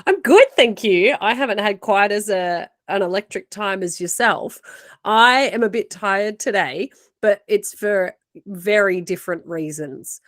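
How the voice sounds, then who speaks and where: A woman's voice: medium pitch, unhurried, fairly bright tone, no room sound, studio quality. A woman co-hosting a podcast, chatting casually in a playful, teasing way with frequent laughs. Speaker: podcast co-host. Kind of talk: casual chat.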